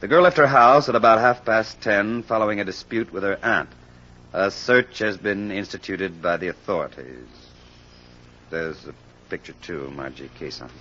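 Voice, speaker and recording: An actor playing a shrewd, persistent American detective in an old radio crime drama, probing and assured. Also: male voice, lowish voice, moderate pace, bright, forceful tone. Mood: reading aloud. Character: shrewd, persistent male amateur sleuth questioning suspects. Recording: dull lo-fi old radio recording.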